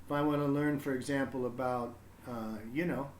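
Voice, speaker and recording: A man's voice: medium pitch, fairly even intonation, normal speed, a little hiss or hum. A man talking casually on a livestream, explaining things in a rambling way as he thinks aloud. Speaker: live streamer reading to children. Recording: roomy, echoing room sound.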